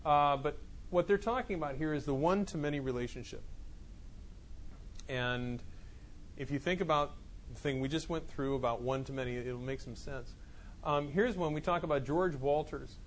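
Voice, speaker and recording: A man's voice: mid-range voice, slight background noise. A man teaching a college class in a classroom, explaining in a loose, repetitive way with many restarts. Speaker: college instructor. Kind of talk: classroom lecture. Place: classroom with students. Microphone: clip-on mic that keeps falling off.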